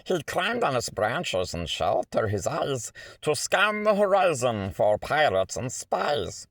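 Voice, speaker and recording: Male storybook reader for rhyming picture book: theatrical, lively pitch swings, measured pace, rhythmic phrasing. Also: no room sound, studio quality. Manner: theatrical, rhythmic delivery with stretched words and asides. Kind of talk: expressive read-aloud of a rhyming children's picture book.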